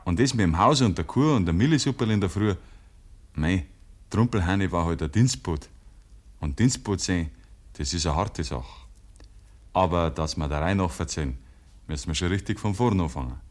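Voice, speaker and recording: An actor as a homely, wry village storyteller, narrating a 1950s radio play with a Bavarian accent. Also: male voice, sits low, lively intonation, normal speed.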